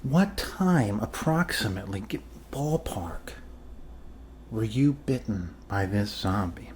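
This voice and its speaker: A man doing an improvised ASMR role-play as a calm, quirky doctor, speaking haltingly and reassuringly to a patient. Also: fairly low pitch, slight background noise. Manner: halting, reassuring, trailing off with fillers and pauses. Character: calm, quirky male doctor treating a zombie bite.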